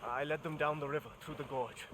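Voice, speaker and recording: An actor playing a loyal, wry adventuring companion in a video game, speaking earnestly with dry humor. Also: male voice, fairly high pitch, fairly even intonation, brisk, fairly bright tone, slight background noise, natural voice. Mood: worried, resolute. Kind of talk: dramatic video game acting.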